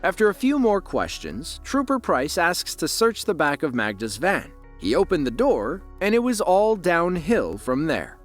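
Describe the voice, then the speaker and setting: A man's voice: a little high, huge pitch swings, unhurried, hardly any pauses, soft background music. A man narrating a crime documentary in a scripted, dramatic voice-over.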